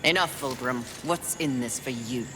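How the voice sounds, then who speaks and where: A woman's voice: a bass voice, normal speed, background noise. A woman playing a fierce, impatient, scornful warrior horseman in a video game, sardonic and curt. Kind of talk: video game character acting. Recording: loud music over the voice.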